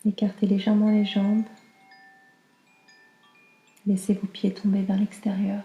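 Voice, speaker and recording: Very mellow, soft woman guiding relaxation. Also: mid-range voice, echoey room. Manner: calm, soothing, unhurried. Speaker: yoga nidra guide. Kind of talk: slow guided relaxation meditation.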